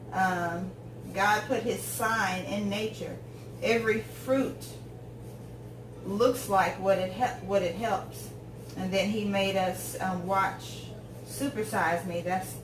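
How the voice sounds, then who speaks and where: A woman's voice: mid-range voice, recorded from a distance, background noise. Chatty fortysomething woman cooking in an echoey kitchen. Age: 40s. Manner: rambling, talkative, many ums and tangents. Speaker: home cook vlogger. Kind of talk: casual chat while cooking. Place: home kitchen.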